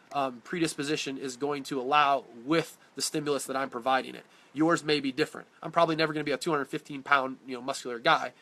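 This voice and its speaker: Male fitness coach, blunt and direct, stuffy congested voice, bright tone, webcam live stream. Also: mid-range voice. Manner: direct, blunt, answers viewer questions by name. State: congested from a cold. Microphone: phone or webcam on a live stream.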